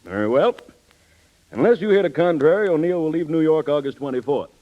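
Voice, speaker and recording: A man's voice: fairly high pitch, expressive, brisk, lightly acted. An actor playing an older, courtly businessman smitten with his secretary, in 1930s radio style. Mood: suave, flirtatious. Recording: muffled 1930s radio recording.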